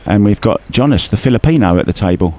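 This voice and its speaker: A man's voice: lowish voice, expressive, fast talker, warm tone. A man commentating live at a pool tournament, chatting and joking with guests between shots. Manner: jokey, chatty, laughs often. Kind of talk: casual live commentary chat. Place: tournament venue.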